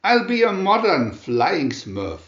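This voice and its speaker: A man's voice: high-pitched, very animated, slow, fairly bright tone, roomy sound, muffled recording, voice pushed up, heavily acted. A man voicing a small, determined cartoon dwarf creature from a children's storybook, with hopeful declarations. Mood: eager, determined.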